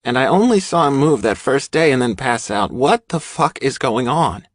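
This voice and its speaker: A man's voice: mid-range voice, expressive, moderate pace, no echo, clean studio sound, pitched above the performer's own voice, natural voice. A man voicing a brash, angry young woman with a barking, scolding manner in an audiobook. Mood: worried, exasperated. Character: brash, angry young woman, foul-mouthed biker sister. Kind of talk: audiobook character acting.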